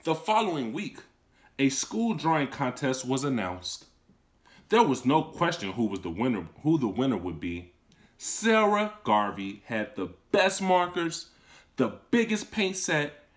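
Animated man reading a children's story. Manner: expressive storytelling with lively swings in pitch and volume; warm, encouraging talk to young children. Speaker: kindergarten teacher. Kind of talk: reading a picture book aloud to children. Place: small indoor room, seated on a couch.

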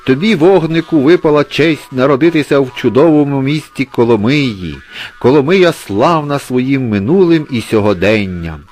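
A man's voice: sits low, expressive, measured pace, husky, faint music behind, full character voice. A man voicing a sly, boastful street cat with a theatrical, bragging manner in a children's storybook. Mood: grand, boastful.

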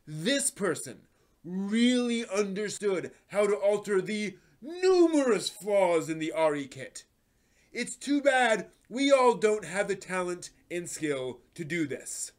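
A middle-aged man giving an exaggerated, indignant dramatic reading with heavy emphasis. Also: sits high. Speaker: host reading old forum posts. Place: home room lined with bookshelves.

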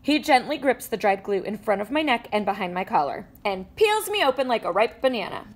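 A woman reads a children's picture book aloud in a higher voice that leaps and dips with every line. She acts out the sound effects with playful energy, recorded indoors at a table. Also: brisk. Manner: animated storytelling with sound effects. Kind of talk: expressive read-aloud.

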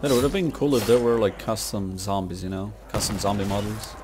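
A man's voice: on the low side, fairly even intonation, a little fast, background noise. A young man giving casual gameplay commentary in slangy language, muttering to himself as he plays. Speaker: gamer, video creator. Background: video game music and sounds.

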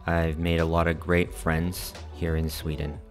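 A man from the United States narrates his own video in a calm, earnest way, his voice low and held fairly level. He speaks over soft background music. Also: measured pace. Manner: calm, earnest and friendly, uses y'all. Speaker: vlogger. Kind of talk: voice-over narration over scenic footage.

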